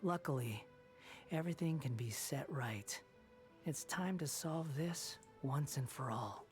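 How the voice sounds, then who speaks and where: A man voicing a brooding young villain in a video game, with cold, deliberate, theatrical delivery. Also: medium pitch, animated, lightly acted. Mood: disdainful, brooding. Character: scornful, brooding young male villain turned penitent. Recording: game audio with music behind.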